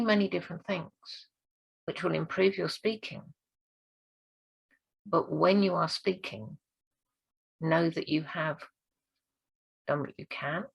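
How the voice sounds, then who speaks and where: A woman with a low, croaky voice, gravelly and husky from hoarseness, talks straight to camera as a speaking coach. Her delivery is earnest and encouraging. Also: rough recording. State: hoarse this week. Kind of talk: direct-to-camera training talk.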